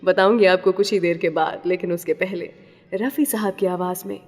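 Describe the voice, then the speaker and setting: A woman's voice: fairly low pitch, fairly even intonation, moderate pace, a little mellow. A woman hosting a radio show, telling scripted stories and talking directly to the listener in a conversational way. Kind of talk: scripted radio storytelling and song introductions. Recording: long reverberant tail on voice.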